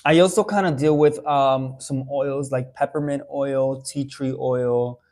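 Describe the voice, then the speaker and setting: A man's voice: mid-range voice, fairly even intonation, measured pace, a little mellow. A young adult man from the Dominican Republic, chatting casually on a live stream and answering viewer questions with lots of fillers and restarts. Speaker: haircare brand owner answering live viewers.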